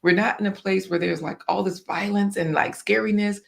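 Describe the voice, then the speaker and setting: A woman guest with a hoarse, scratchy voice, talking in a casual, upbeat and encouraging way on a livestream. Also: mid-range voice, fairly even intonation, normal speed. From Virginia.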